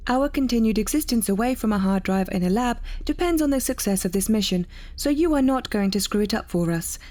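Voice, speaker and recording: Woman as a bossy, high-voiced female AI. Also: lively intonation, brisk, darkish tone, close to an everyday voice. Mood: bossy, stern. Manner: commanding, scolding. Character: bossy, stubborn female artificial intelligence sharing a body. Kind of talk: audio drama acting.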